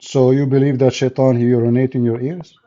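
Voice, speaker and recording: A man's voice: mid-range voice, level tone, brisk, mellow, muffled recording. A man hosting a combative call-in debate, speaking blunt, mocking non-native English. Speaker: host of call-in debate show.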